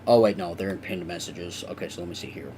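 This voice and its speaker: A man's voice: deep voice, fairly even intonation, fast, darkish tone, a little hiss or hum. A young man chatting casually with viewers on a live stream while he works, rambling and swearing now and then.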